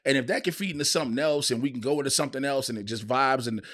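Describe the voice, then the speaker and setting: A man co-hosting a casual podcast, telling stories in an animated way with profane slang. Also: medium pitch, racing. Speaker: podcast co-host and stand-up comedian.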